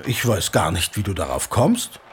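A man playing a playful storyteller who tells legends in a radio play, dramatic and deliberate with savoured pauses. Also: low-pitched, big pitch swings, a bit bright, a little hiss or hum, heavily acted. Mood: playful, wistful. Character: playful, theatrical male storyteller spinning local legends.

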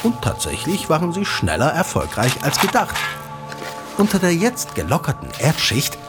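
An actor playing an eager father who recounts an old legend in an audio drama, animated, teasing and dramatic, like a keen storyteller. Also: a little high, normal speed. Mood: suspenseful, animated. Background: music.

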